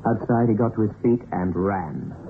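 A man narrating a suspense radio play in a measured, dramatic storytelling manner. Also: fairly low pitch. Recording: phone-like narrow-band old radio recording. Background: background music.

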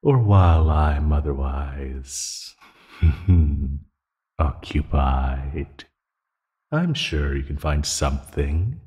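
A man's voice: very deep voice, full character voice. A man playing a teasing, menacing naga predator in an ASMR roleplay, condescending and taunting in his delivery. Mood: smug, casual. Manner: slow, taunting, condescending, with soft chuckles and sighs. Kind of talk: ASMR roleplay audio drama. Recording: long hollow reverb.

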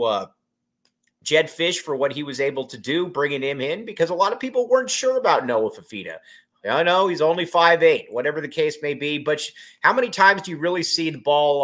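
A man's voice: a little high, fairly bright tone. A man in his thirties hosting a solo sports podcast from a small room at home, rambling through a postgame reaction with many fillers and repeated phrases.

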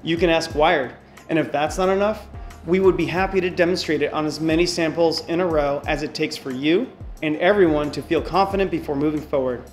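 A man's voice: fairly high pitch, faint music behind. Earnest man reading a letter. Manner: measured, earnest delivery to camera. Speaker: company spokesman. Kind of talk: scripted open letter reading. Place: indoor hardware lab.

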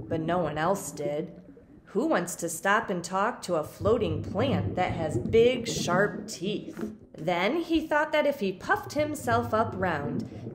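A woman reading a children's picture book aloud expressively, with dramatic pauses and emphasis for young listeners. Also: mid-range voice, background noise. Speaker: storybook reader.

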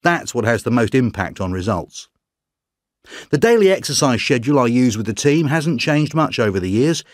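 A man speaking as a sports trainer in a measured, explanatory scripted talk for an exam listening track. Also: medium pitch, sing-song, no room sound, clean studio sound.

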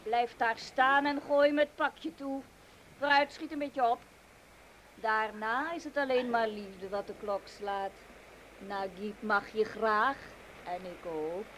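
A flirty, dangerous femme fatale in a radio drama. Her voice is fairly high and she takes her time, cooing threats like endearments. Light noise runs behind her. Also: slow pace, a bit bright, poor audio. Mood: coaxing. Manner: cooing, teasing, mock-affectionate threats. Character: flirtatious, menacing female crook playing sweet.

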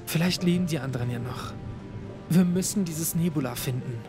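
An actor playing a nervous, impulsive young student in a German audio drama. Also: male voice, a little high, music in the background, lightly acted. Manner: jittery, quick to act.

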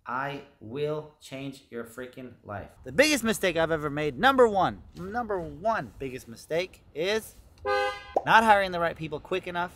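A man's voice: a little high, a bit bright, a little hiss or hum. A man in his thirties, a business owner vlogging blunt advice, emphatic and profane, repeating his key points. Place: home office with studio monitors, also outdoors and in a truck.

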